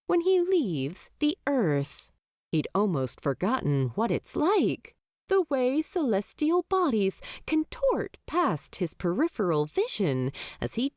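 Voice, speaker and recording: A woman's voice: medium pitch, a little mellow, dry recording, clean studio sound. A woman narrating a story expressively from a script, as an audiobook-style narrator.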